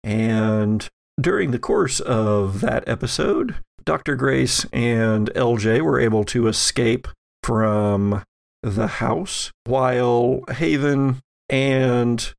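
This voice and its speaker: A man narrating and recapping a tabletop roleplaying game as game master, in measured phrases with pauses. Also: mid-range voice, gravelly.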